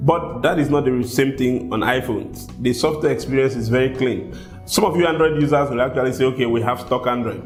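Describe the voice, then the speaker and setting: A man's voice: medium pitch, a little fast. A man from Nigeria with a Nigerian accent, talking casually to his viewers as a tech vlogger and sometimes repeating words while he thinks. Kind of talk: casual talk to camera. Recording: echoey room with soft guitar music behind.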